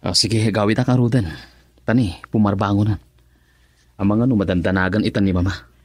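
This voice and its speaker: A man plays a young Filipino man in a radio drama, concerned and reassuring. He speaks somewhat low, recorded close and without echo. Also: steady tone, brisk, darkish tone, lightly acted. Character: concerned young man tending a sick woman. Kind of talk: Ilocano radio drama acting.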